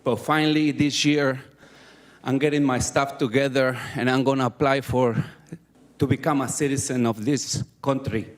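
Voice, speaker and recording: A man, giving earnest personal testimony at a community meeting with pauses between phrases. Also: mid-range voice, steady tone. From Mexico City. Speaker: community advocate.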